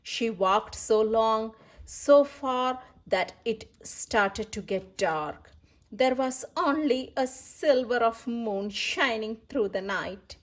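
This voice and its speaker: A woman reading stories aloud for children as the host, animated and emphatic with repeated words. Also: on the high side, takes it slowly. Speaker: children's story reader and host.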